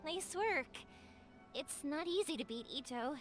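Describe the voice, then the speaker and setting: An actress voicing a sunny, warm-hearted young woman who makes fireworks, friendly and gushing. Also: sits high, animated, measured pace, over music. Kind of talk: game cutscene voice acting.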